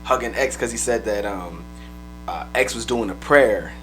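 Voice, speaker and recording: A man co-hosting a podcast, telling loose, profane stories in casual chat, his voice a little raspy. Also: lowish voice, fairly bright tone, soft background music, poor audio. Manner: fast, loose, profane storytelling. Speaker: podcast co-host.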